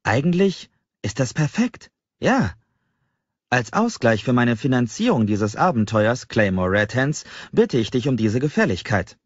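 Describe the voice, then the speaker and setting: A man's voice: medium pitch, very animated, unhurried, dry recording, dull audio, voice pushed up. A man narrating an audiobook and voicing a playful young male entrepreneur with a boyish, theatrical, mock-pompous manner. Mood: mock-grand.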